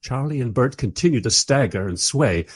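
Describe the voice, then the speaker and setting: An actor playing a wry, curious time traveller who narrates a radio play in the first person and speaks in its dialogue. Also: male voice, mid-range voice, lively intonation, normal speed, slightly rough, no room sound. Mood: wry, amused. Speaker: narrator and lead character.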